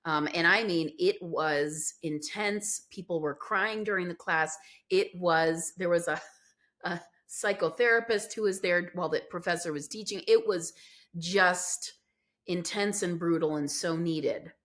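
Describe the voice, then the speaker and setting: A middle-aged American woman with a somewhat low voice tells her life story on a podcast. She is earnest and reflective, trailing into fillers as she thinks. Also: a little slow, a bit bright. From United States. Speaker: podcast guest. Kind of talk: candid conversational storytelling.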